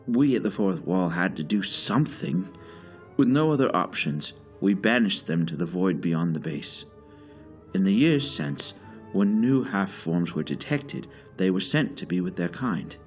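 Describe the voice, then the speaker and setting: A man's voice: deep voice, a little mellow. An actor playing a stern, weary older male director in an audio drama, speaking formally and with grave authority.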